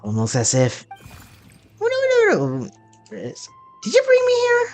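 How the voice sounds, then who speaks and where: Slow, animated male game streamer. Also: very high voice. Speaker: streamer playing a story game. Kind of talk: reading game dialogue aloud and commenting. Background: game music.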